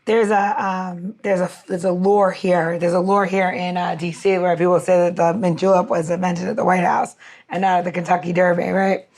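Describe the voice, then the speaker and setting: A woman's voice: fairly low pitch, level tone, normal speed, a little mellow. A woman co-hosting a podcast in a casual, chatty, playful way, walking through things like a bartender. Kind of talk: casual chat with recipe walk-through.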